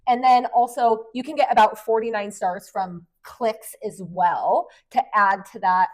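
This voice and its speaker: A woman around forty hosting a podcast, polished in her intro and chatty when she explains things. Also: mid-range voice. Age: late 30s to 40. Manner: brisk, polished delivery that turns chatty and explanatory. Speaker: podcast host and Amazon influencer. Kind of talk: podcast conversation.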